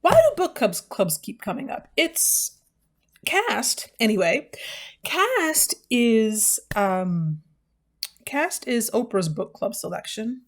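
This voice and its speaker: A woman's voice: on the high side, sing-song, slow pace. An American woman hosting a book channel, talking casually and off the cuff to viewers in a wry, self-deprecating, digressive way. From United States. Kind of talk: casual, unscripted vlog talk to viewers.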